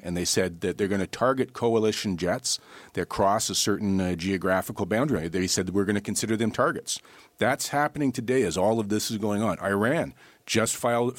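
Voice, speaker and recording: Man, lowish pitch, dry studio sound, talk radio guest, conversational commentary, emphatic, opinionated. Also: no room sound.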